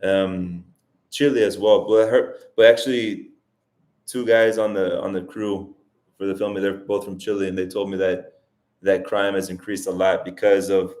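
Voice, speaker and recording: A man's voice: low voice, level tone, normal speed, a little mellow. Rambling man hosting a live Q&A. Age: 40s. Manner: rambling, thinks aloud with frequent restarts. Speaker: livestream host. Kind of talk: casual livestream Q&A. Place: lives in Colombia as an immigrant.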